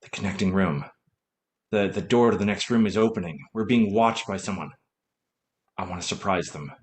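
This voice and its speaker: A man's voice: deep voice, normal speed, lightly acted. A man playing a wry, teasing husband and witty sleuth in an old-time radio mystery, with a dry, playful manner. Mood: wary.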